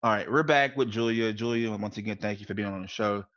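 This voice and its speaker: A man hosts a relaxed interview show, asking friendly, meandering questions. His voice sits on the low side, and the recording sounds dull and lo-fi. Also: quick pace. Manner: friendly, loose, rambling questions. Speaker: host. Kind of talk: casual interview chat. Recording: lo-fi muffled sound.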